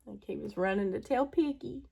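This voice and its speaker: A woman's voice: medium pitch, moderate pace, gravelly, warm tone, husky. A woman storyteller making casual asides while reading aloud to children.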